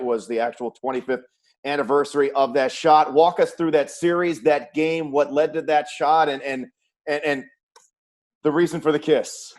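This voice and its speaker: Slightly higher-voiced man hosting a sports chat. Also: a little mellow. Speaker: host, play-by-play sports announcer.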